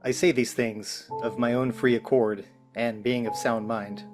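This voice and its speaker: A man's voice: mid-range voice, fairly even intonation, unhurried, lightly acted. A man playing an anxious, resigned office employee in a script table read. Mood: solemn, measured. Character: anxious, resigned office employee signing away his memory. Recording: music playing behind the voice.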